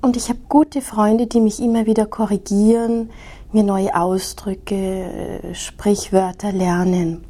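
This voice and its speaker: A woman, giving a reflective personal monologue in a measured, thoughtful way. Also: medium pitch, flat delivery, warm tone. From Austria. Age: 30s.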